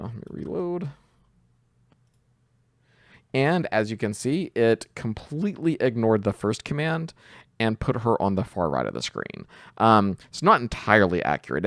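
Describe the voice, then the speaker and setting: A man with a very low voice walks through a software tutorial step by step. His delivery is informal and lively in pitch, as a host talking over his screen. The sound is dry and close to the mic. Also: no room sound. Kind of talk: casual screen-share tutorial narration.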